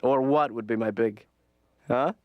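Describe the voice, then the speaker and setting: A man's voice: mid-range voice, measured pace, no echo, phone-quality sound, lightly acted. An actor playing an aimless, overconfident young man who rambles with many halts. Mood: rambling.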